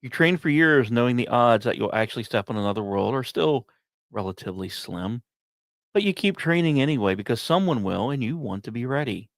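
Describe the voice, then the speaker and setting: A male podcast host narrates space and science material in a medium-pitched voice. He phrases it like a dramatic story, setting off short fragments with pauses and now and then stepping aside to address listeners. The sound is dry and close, with little room around the voice. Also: expressive, no echo.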